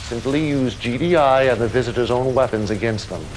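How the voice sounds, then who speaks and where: An actor playing a commanding, calmly menacing cult leader in a video game cutscene, speaking with solemn, grandiose deliberation. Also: male voice, mid-range voice, fairly quick, slight background noise, close to an everyday voice, rough recording. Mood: coldly menacing.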